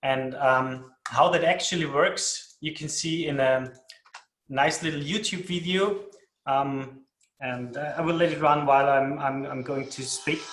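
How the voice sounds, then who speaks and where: A man from Austria with an Austrian accent, presenting his company as a founder in a webinar, steady with "um" fillers. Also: on the high side, a little slow, a little hiss or hum. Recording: roomy echo.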